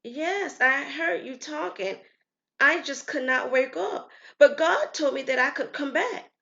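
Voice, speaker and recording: A woman voicing an earnest, innocent daughter in an audio story, halting and full of childlike wonder. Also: high-pitched, expressive, creaky, a bit bright, dull audio, pitched above the performer's own voice, full character voice.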